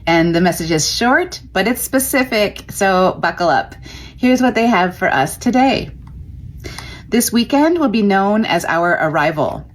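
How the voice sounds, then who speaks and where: A woman earnestly reading out a channeled message in a calm, measured way. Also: medium pitch. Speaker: self-styled channeler.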